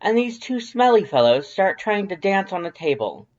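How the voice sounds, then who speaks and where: A woman's voice: mid-range voice, very animated, moderate pace, darkish tone, highs cut off, close to an everyday voice. A woman voicing a prissy, verbose male skeleton in an audio drama, with a formal, grandiose, carefully enunciated delivery. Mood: animated, playful. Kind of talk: fan fiction audio drama acting.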